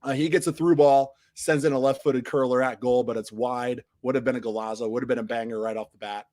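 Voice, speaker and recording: A man hosts a casual soccer recap while his voice recovers from being lost. He rambles, restarts his sentences, and drops off at the ends of phrases. His pitch sits in an ordinary middle range for a man. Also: steady tone, fairly quick. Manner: rambling, frequent restarts and fillers, trails off quietly at phrase ends. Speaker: soccer podcast host. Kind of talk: casual match recap on a live stream.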